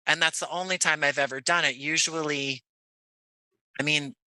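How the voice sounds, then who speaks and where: A man speaking as an interview guest, answering reflectively and conversationally, often pausing to rephrase. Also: on the high side, fairly even intonation, bright, forceful tone, smooth, dry recording, low-quality recording. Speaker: interview guest, actor and audio drama creator.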